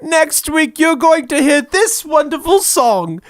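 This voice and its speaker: A man's voice: very high voice, very animated, slow pace, dry recording, studio quality. A man narrating a parody story in a theatrical comic style. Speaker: narrator and performer of a parody series.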